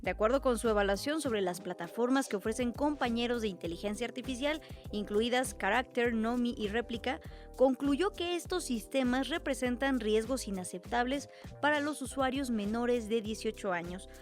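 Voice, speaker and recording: A woman with a Mexican accent anchoring a radio newscast in a clear, measured way. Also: fairly high pitch, faint music behind. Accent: Mexican Spanish. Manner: clear, measured presenter delivery. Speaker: news anchor. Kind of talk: radio news presenting.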